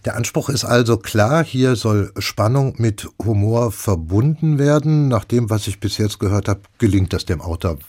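A man hosting a radio programme, presenting scripted information in a measured way. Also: lowish voice, darkish tone. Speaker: radio host. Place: radio studio.

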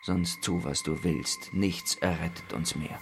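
A man acting a despairing old father in a theatrical radio tragedy, with a hushed, halting, grave delivery. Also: low-pitched, fairly even intonation. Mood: desperate, resigned. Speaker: actor. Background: music.

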